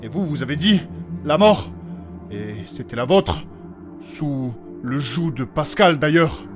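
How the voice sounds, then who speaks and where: A man's voice: a little high, animated, slow, music in the background. A man playing a polite, anxious and earnest adventurer, formal and deferential, in a fantasy audio drama. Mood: grave. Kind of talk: French fantasy audio fiction acting.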